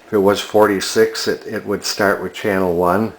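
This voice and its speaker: A man giving a casual, unscripted walk-through as a collector, talking in a halting, musing way. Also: fairly low pitch, little pitch movement. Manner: hesitant, musing, halting delivery. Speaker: vintage electronics collector presenting a find.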